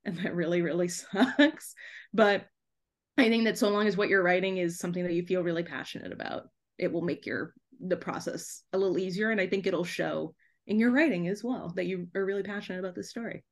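A woman's voice: fairly low pitch, brisk. A woman guest talking casually in a podcast interview, often giggling as she speaks. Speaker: guest author and TV trailer writer.

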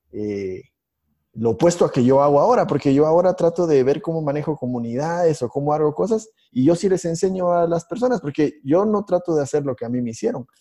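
A man co-hosting a podcast, telling stories in a halting way with many restarts and fillers. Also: on the high side, mellow, breathy edge. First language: Spanish. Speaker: podcast co-host, IT instructor. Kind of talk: casual podcast conversation.

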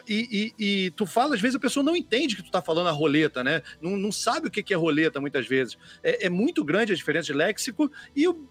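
A man co-hosting a podcast, talking in a measured way and thinking aloud with fillers. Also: sits high, fairly bright tone. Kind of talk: casual chat.

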